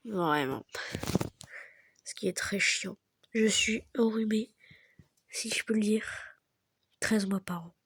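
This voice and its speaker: A young man with a very high, childlike voice, stuffy from a cold, giving soft, half-whispered, rambling commentary over his gameplay. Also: slow pace, pauses often, breathy edge, no echo, clean studio sound. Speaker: gaming YouTuber.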